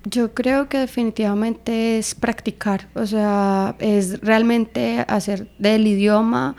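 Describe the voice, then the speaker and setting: A woman's voice: on the high side, fairly even intonation, slow, creaky, darkish tone. A young Colombian woman with a Colombian Spanish accent, giving thoughtful interview answers full of small fillers. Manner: thoughtful, with many fillers like "eh" and "digamos". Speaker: guest.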